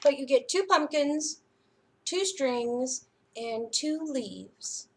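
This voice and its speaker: A woman storyteller reading aloud to young children in a deliberate, sing-song way. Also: high voice, animated.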